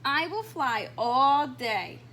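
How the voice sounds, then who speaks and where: A woman's voice: high-pitched, big pitch swings, slow pace, sharp tone, a little hiss or hum, exaggerated voice. A woman voicing a clumsy, earnest young female fruit bat in a children's storybook, with childlike exclamations. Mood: determined.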